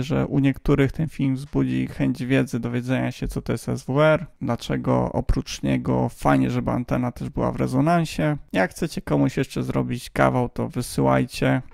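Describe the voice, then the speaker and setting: A man's voice: medium pitch, creaky, a little mellow, dry recording, studio quality. A man giving a casual, playful, tongue-in-cheek explainer voice-over as a hobbyist video host.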